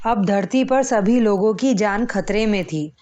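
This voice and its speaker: A woman's voice: mid-range voice, a little slow, mellow, muffled recording. A woman narrating a scripted children's story as a storyteller.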